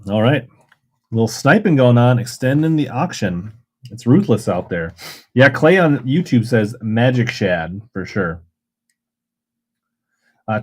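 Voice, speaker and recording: Casual male auction host, slightly low voice, loose and chatty. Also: darkish tone. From Minnesota. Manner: rambling, chatty, reads chat aloud. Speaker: live auction seller. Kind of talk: casual livestream selling.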